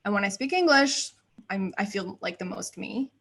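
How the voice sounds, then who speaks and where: A woman in her thirties from the United States talks as a guest on an interview show. Her voice sits at a middle pitch. She is chatty and laughs easily, filling her thoughts with "um" and "like". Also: lively intonation, moderate pace, creaky, fairly bright tone, slightly rough. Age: 30s. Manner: chatty, laughs often, many fillers. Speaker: guest, actor and writer. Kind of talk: casual interview chat.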